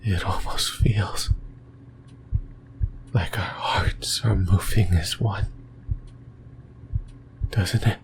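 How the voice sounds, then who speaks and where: A man voicing a lonely, possessive demon in a breathy, brooding whisper for an ASMR roleplay. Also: low voice, steady tone, full character voice. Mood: tender, yearning. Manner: slow, halting, brooding delivery. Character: lonely, possessive sleep paralysis demon, lost and yearning.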